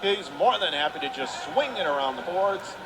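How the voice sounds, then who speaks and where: A man's voice: high voice, expressive, moderate pace. A man calling live radio play-by-play of an ice hockey game from the arena. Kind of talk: live radio sports commentary. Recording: clear arena noise behind the voice.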